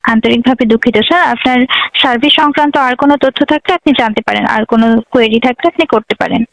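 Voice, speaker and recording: A woman's voice: on the high side, racing, vocal fry, no echo, rough recording. A young Bangladeshi woman working as a customer service agent, speaking in a polite, formulaic, formal way on the phone.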